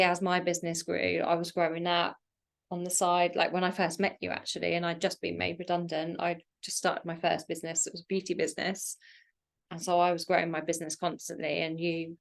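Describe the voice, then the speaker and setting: A woman's voice: fairly low pitch, creaky. A woman in her thirties hosting a podcast, chatty and prompting her guest with questions between laughs. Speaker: podcast host. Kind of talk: casual conversation.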